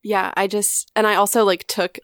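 A woman's voice: medium pitch, normal speed, no room sound, clean studio sound. A woman chatting casually in a group, hesitant and full of "like" fillers. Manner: relaxed, hesitant, many "like" fillers.

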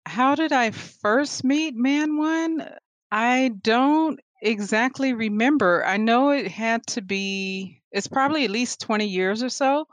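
A woman's voice: a little high, slow pace, no room sound. A woman in her forties, a guest artist telling stories in a casual interview, laughing often. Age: 40s. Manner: animated storyteller, laughs often.

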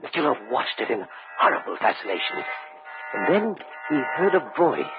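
Eccentric rich collector, swoopy dramatic storyteller, eerily genial, tinny old radio sound. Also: male voice, mid-range voice, wildly swooping pitch, normal speed. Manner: hesitant chuckles, savouring dramatic storytelling. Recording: narrow-band old radio recording.

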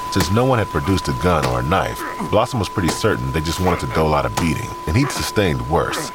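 Very deep male drama narrator, expressive. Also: poor audio. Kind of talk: scripted audio drama narration. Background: music.

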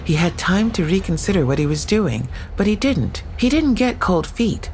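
A man's voice: high-pitched, big pitch swings, moderate pace, a little mellow, a little hiss or hum, low-quality recording. An actor playing a persistent male detective who keeps questioning a case. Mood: probing. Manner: questioning, insistent.

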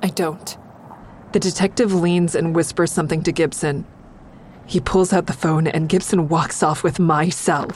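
A low-pitched actress playing a young woman in an audio drama, defiant and distraught as she tells her own story. There is clear background noise around her voice. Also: steady tone, moderate pace, a little mellow, lightly acted. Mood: anxious pleading. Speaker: narrator and lead character. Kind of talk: audio drama narration and dialogue.

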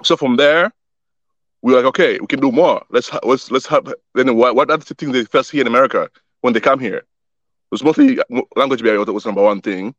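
Young male guest, relaxed and halting, dry close mic with a slightly muffled sound. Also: medium pitch, no echo. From born in Wisconsin, grew up in Tanzania. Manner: relaxed, repeats words often while he thinks. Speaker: guest, youth soccer organization founder. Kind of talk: casual interview chat.

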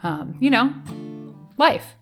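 A woman's voice: high voice, very animated, takes it slowly. A woman chatting casually and promotionally on a podcast, with a playful, wry manner and guitar music behind her. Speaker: podcast co-presenter, live show MC and author. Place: home basement.

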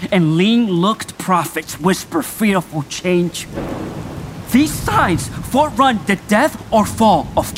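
An actor playing an anxious army captain in a Shakespeare radio drama, delivering verse gravely and full of foreboding. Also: male voice, sits high, a little hiss or hum, heavily acted. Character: anxious Welsh army captain, fearful of omens. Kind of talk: Shakespearean stage acting for radio.

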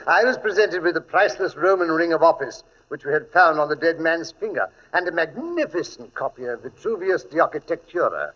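An actor playing a genial, proud older English gentleman who tells stories in a fussy British manner for 1940s radio drama. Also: on the high side. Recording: muffled 1940s radio recording.

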